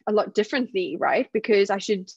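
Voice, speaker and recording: A woman from South Africa with a softened South African accent, a guest telling stories in a casual interview and acting out what other people say. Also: medium pitch, a little fast, breathy edge, no room sound, lo-fi sound. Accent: South African, softened by years in the UK. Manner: animated storytelling, acts out other people's remarks. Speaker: guest speaker.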